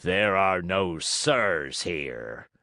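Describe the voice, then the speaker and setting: A man voicing a gruff, bearded male puppet-theater director with a hoarse voice, slow, booming and pompous. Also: animated, bright, forceful tone, dry recording, clean studio sound, full character voice.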